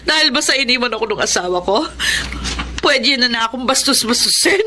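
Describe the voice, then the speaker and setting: A woman's voice: on the high side, animated, brisk, background noise, lightly acted. An actress playing an earnest, hardworking single mother in a radio drama. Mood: bitter. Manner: earnest, emotional.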